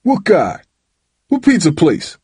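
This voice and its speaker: A man voicing an angry, domineering father who shouts and interrogates in colloquial, threatening speech for an audiobook. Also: on the high side, huge pitch swings, slow, breathy edge, no room sound, clean studio sound, voice pushed up, full character voice. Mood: furious, demanding. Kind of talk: audiobook character voice.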